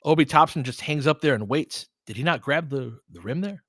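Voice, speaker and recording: A man's voice: fairly high pitch, fairly quick, fairly bright tone, no echo, clean studio sound. A man hosting live basketball commentary as a partisan fan, mixing clipped play calls with conversational tactical breakdowns. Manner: partisan fan calling plays in short clipped phrases, explaining conversationally.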